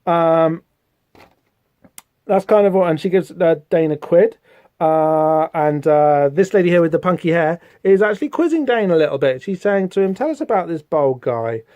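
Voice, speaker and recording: A man's voice: fairly high pitch, moderate pace, a little mellow, no room sound. A man hosting a podcast, musing casually over a comic with frequent ums and restarts. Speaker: podcast host. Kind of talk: casual read-along comic commentary. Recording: dull, slightly muffled podcast audio.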